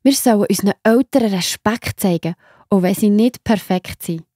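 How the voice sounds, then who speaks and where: A woman with a Swiss accent narrating Bible stories for children in an expressive, enthusiastic, simple way. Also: mid-range voice, normal speed, no room sound, clean studio sound. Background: music behind the voice.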